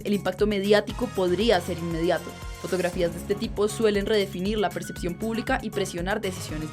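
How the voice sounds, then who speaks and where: A woman playing a grave radio news reporter, reading a scripted bulletin in a formal, measured way. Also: medium pitch, close to an everyday voice. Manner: formal, measured newsreader delivery. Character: grave female news reporter announcing breaking events. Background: music under the voice.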